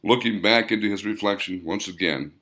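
A man reading an audiobook story aloud in a measured, dramatic storytelling manner. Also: fairly low pitch, sharp tone. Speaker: author reading own audiobook. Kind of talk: scripted audiobook narration.

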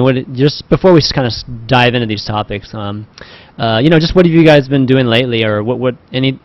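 A man's voice: mid-range voice, no room sound. A man hosting a casual panel talk over a video call, rambling and hedging as he goes. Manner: rambling, hedging with "you know". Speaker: talk show host. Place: indoor room on a video call. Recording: dull, muffled online call audio.

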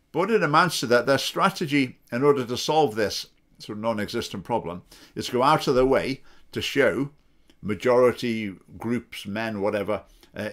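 A man's voice: fairly low pitch, fairly bright tone. Opinionated man talking to camera. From England. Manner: opinionated, sarcastic, mocking quoted voices. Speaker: political party spokesman. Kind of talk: commentary to camera. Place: home study with bookshelves. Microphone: webcam video call framing.

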